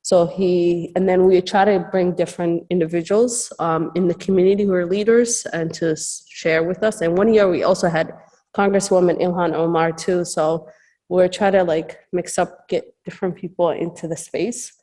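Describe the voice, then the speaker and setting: A woman's voice: fairly low pitch, steady tone, moderate pace, mellow. A woman casually presenting slides at a chapel service, rambling with frequent fillers and restarts. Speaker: campus ministry speaker.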